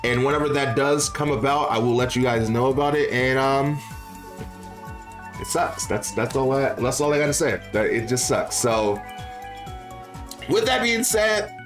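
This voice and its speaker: A man's voice: mid-range voice, normal speed, music in the background. A man giving casual, opinionated gaming commentary to viewers, speaking in an informal, slangy way and repeating phrases for emphasis. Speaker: gaming YouTuber.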